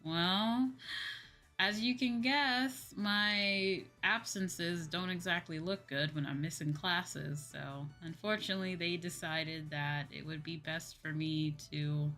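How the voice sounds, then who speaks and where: A woman's voice: lowish voice, fairly even intonation, slow pace, bright, forceful tone. A woman with an American accent chatting casually on a livestream, informally answering viewer comments and repeating phrases for emphasis. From Midwestern United States. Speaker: VTuber livestreamer. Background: music.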